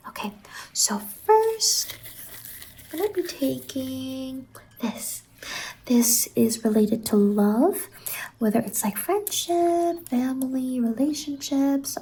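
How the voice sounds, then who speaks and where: A soft-spoken woman doing an ASMR roleplay as a salon worker, soothing and reassuring, often slipping into a whisper. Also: a little high, vocal fry, slightly rough.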